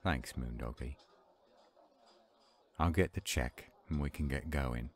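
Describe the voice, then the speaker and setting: A man acting an earnest young werewolf pack leader in a roleplay audio drama, speaking in a measured way. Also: extremely low pitch, exaggerated voice. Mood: friendly, grateful.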